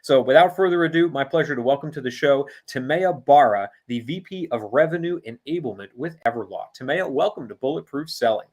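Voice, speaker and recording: A man's voice: mid-range voice, lively intonation, brisk, hardly any pauses, a little mellow. A man hosting an interview podcast, introducing his guest and reacting with short affirmations. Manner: affirming, with frequent backchannels. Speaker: podcast host.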